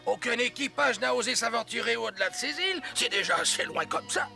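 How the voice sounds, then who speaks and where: A man's voice: very high voice, lively intonation, brisk, vocal fry, full character voice. A man voicing a skeptical, grumbling sailor in a French cartoon, with a dismissive know-it-all manner. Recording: music behind the voice.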